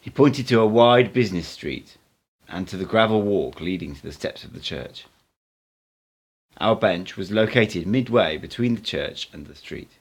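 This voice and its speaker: Man, amateur audiobook narrator, lowish pitch, lively melodic delivery, expressive. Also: moderate pace, vocal fry, breathy edge.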